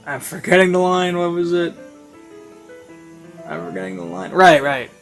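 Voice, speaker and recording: A man's voice: high-pitched, creaky, fairly bright tone. Hesitant man rambling over classical music. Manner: hesitant, halting, thinking aloud. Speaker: reviewer of audio series. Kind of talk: casual rambling spoken review. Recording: classical music playing behind.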